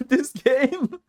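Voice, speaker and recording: A man giving casual, joking reaction commentary, exclaiming and repeating his words for emphasis. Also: very high-pitched. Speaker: reaction video host.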